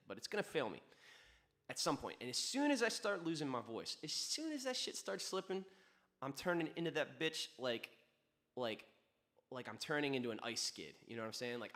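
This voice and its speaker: A man who is a singer-songwriter, chatting casually and rambling between songs on a livestream, laid-back and profane with sudden quick bursts. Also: mid-range voice, fairly bright tone.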